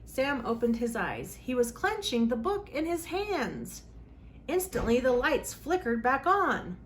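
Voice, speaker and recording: A woman's voice: high voice, big pitch swings, measured pace, slight background noise. A woman hosting a children's read-aloud, reading a storybook expressively with dramatic emphasis.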